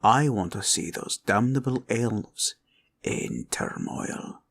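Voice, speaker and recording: Gravelly, slow-drawling greedy dragon. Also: male voice, lowish voice, big pitch swings, exaggerated voice. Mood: gloating. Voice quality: gravelly, creaky. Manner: slow, drawling, grumbling and haggling. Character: greedy, menacing, gloating male green dragon hoarding gold. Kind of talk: roleplay audio villain acting.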